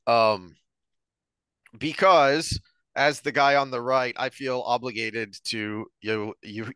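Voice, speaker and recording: An American man co-hosting a podcast, discussing ideas earnestly in a deliberate, emphatic way. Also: medium pitch, bright, forceful tone, clean tone, no room sound. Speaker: podcast co-host. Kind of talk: earnest discussion of politics and philosophy.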